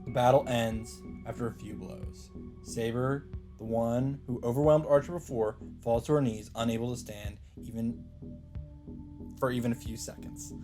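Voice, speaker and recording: A man's voice: mid-range voice, steady tone, moderate pace. A man reading the narration of a visual novel aloud as a let's play host. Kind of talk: story narration read aloud. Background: faint game music.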